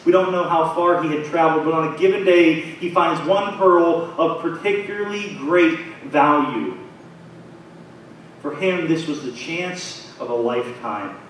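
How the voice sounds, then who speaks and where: A man in his thirties preaching a sermon in a small church, his phrases rising and falling in a measured way. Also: a little high. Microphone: far camera, pulpit mic. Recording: very echoey church from far off, faint noise.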